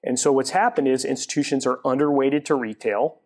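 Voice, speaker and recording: A man in his forties, a guest in a studio interview, explaining at length with frequent restarts, on a lapel mic in a TV studio. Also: mid-range voice. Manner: halting restarts, then steady explanation. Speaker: guest, retail property developer. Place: TV studio set.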